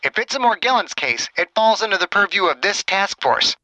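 A man's voice: a little high, expressive, normal speed, bright, forceful tone, dry recording, voice pushed up, poor audio. A man voicing an annoyed, contemptuous, officious male doctor in an audiobook. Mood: angry, officious. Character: annoyed, condescending male disease task force doctor. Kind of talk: audiobook character dialogue.

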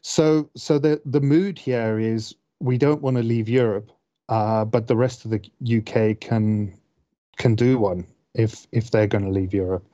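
A man from Zimbabwe with a lowish, mellow voice speaks on a podcast panel. He explains in a careful, halting manner, picking his wording as he goes. The recording is slightly dull. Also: steady tone, no echo. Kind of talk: casual explanatory talk. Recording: dull, dry close recording.

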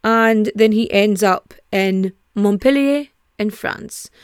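A woman's voice: medium pitch, slow, smooth, dry recording, studio quality. A young woman casually telling a true crime story, swearing freely and acting out the people she quotes. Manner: animated, sweary, acts out quotes in mock voices.

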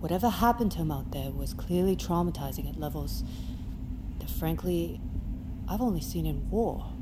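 Actress as a firm, lower-pitched woman doctor. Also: lively intonation, normal speed, lightly acted. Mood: grave, resolute. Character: serious, protective female expedition doctor. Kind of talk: audio fiction drama acting. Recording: clear background noise of vehicle ambience.

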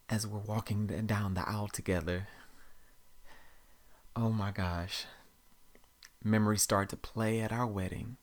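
A performer voicing a fond, reflective older parent of the bride in a lowered voice, with faint music behind.

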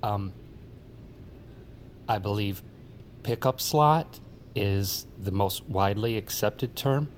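A man's voice: fairly low pitch, slow, long pauses, slight background noise. An actor playing an awkward, pedantic male co-worker in an audio drama, speaking with earnest hesitation.

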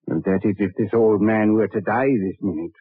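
An actor playing a cold-blooded, calculating body seller in an old radio drama, speaking with slow insinuation. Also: male voice, lowish voice, slightly rough, dry recording. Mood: sly, menacing. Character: cold-blooded, scheming poor body-seller turned murderer. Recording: muffled, phone-like vintage radio recording.

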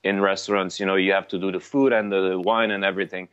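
A man whose first language is Armenian, a sommelier chatting in an interview, halting with many fillers and restarts. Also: sits low, level tone, fairly bright tone, smooth. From Lebanon. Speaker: sommelier guest. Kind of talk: casual interview chat. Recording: dull, dry lo-fi sound.

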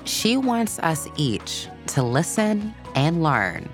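A narrator reading a scripted children's biography in an expressive, measured storytelling way. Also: medium pitch. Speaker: podcast narrator. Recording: soft music bed behind voice. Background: background music.

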